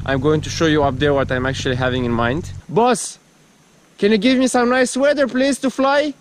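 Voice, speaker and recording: A man's voice: on the high side, steady tone, normal speed. A man in his twenties talking casually and playfully to viewers in an outdoor vlog, with informal, slightly hesitant phrasing. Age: 20s. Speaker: vlogger, paraglider pilot. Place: outdoors in hills and woods, and in flight. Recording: windy outdoor action-camera audio.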